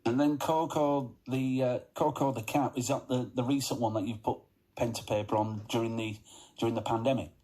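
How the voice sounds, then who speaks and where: A man with a mid-range voice hosts a local radio interview from the studio. He asks his questions in an upbeat way, now and then pausing on an "uh". His tone stays friendly and conversational. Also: mid-range voice, steady tone, dark tone, rough recording. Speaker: radio presenter. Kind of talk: drive-time radio interview.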